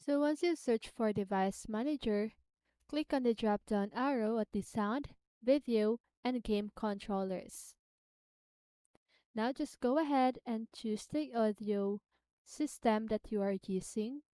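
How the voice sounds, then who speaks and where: A woman's voice: on the high side, a little slow, dark tone, clean tone, dry recording. A woman narrating a casual how-to tutorial, explaining things simply step by step and repeating phrases as she goes. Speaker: tutorial host.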